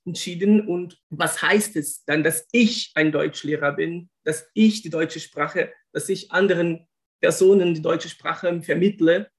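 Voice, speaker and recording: A man with a notably high voice speaks German as an in a conversational interview. He is thoughtful and searches for his words, often restarting phrases. His carries traces of Portuguese. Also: fairly even intonation, a little slow. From Brazil. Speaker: guest, linguist and German teacher.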